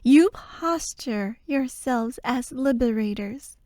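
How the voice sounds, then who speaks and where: High-voiced, defiant royal heir played by a woman. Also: animated, a little mellow, no room sound. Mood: solemn defiance. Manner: formal, solemn, measured oratory. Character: proud, defiant royal heir facing execution. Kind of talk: dramatic fantasy audio roleplay speech.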